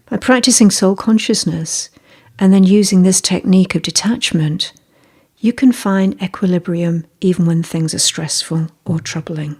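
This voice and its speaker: A woman leading a scripted guided meditation in a gentle, measured, soothing way, with a soft, breathy voice. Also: on the low side.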